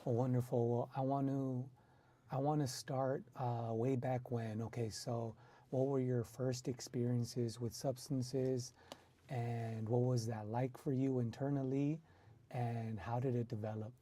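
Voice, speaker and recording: A woman hosting a radio interview in a studio, asking measured, encouraging questions. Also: very deep voice, fairly even intonation, warm tone. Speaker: radio host.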